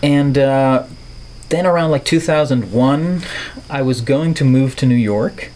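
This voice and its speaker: A man's voice: medium pitch, measured pace, darkish tone, poor audio. A middle-aged man from the Netherlands with a neutral American accent, talking reflectively and playfully as an interview guest, with wordy turns of phrase. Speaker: podcast guest, artist and filmmaker.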